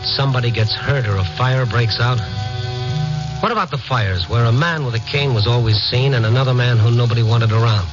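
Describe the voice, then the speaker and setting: An inquisitive night-beat reporter, played by a man in a vintage radio noir. His mid-pitched voice broods through the narration and turns hesitant and kindly in dialogue. The sound is muffled, with prominent music behind it. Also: brisk, natural voice. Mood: brooding, inquisitive. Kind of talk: 1950s radio drama acting and first-person noir narration. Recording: muffled 1950s radio recording.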